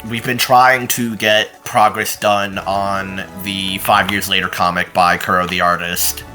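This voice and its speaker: A man's voice: deep voice, level tone, measured pace, sharp tone. A man co-hosting a casual channel update, rambling along in a self-deprecating way. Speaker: channel co-host. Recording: music behind the talk. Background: background music.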